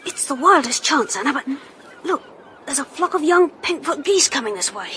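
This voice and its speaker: An actor playing a plucky, quick-thinking boy in a British radio drama, urgent, decisive and well-spoken. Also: very high-pitched, sing-song, sharp tone, slight background noise, heavily acted. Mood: urgent, resourceful.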